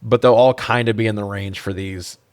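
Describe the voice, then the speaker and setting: A low-voiced man chats casually about movies as a podcast co-host. He rambles through wry asides and swears freely. The sound is dry, with no room on it. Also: fairly even intonation, fairly quick, dry recording. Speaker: podcast co-host reviewing films. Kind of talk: casual film chat with swearing.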